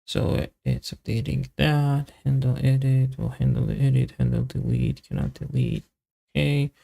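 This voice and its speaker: A man giving casual live coding commentary, thinking aloud as a software developer in halting, muttered fragments with long pauses. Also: mid-range voice, big pitch swings, gravelly.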